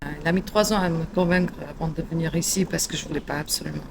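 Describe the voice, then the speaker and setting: A woman's voice: low-pitched, quick pace, creaky, noisy background. A middle-aged woman from Portugal, chatting in an interview, hesitant, with many fillers and restarts. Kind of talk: casual interview chat.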